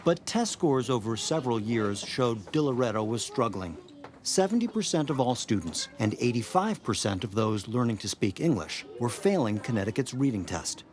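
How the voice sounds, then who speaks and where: A man's voice: medium pitch, very animated, normal speed, darkish tone. An American man reading scripted news narration as a correspondent.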